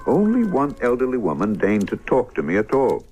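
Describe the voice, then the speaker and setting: A man's voice: medium pitch, huge pitch swings, fairly quick, a little mellow. An actor playing an earnest, eager English painter who narrates his story in an old radio drama. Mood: dramatic storytelling.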